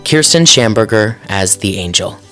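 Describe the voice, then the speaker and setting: A man's voice: on the low side, animated, moderate pace, sharp tone. A man reading scripted credits as an announcer, in a formal, appreciative manner. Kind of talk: scripted narration. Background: faint music.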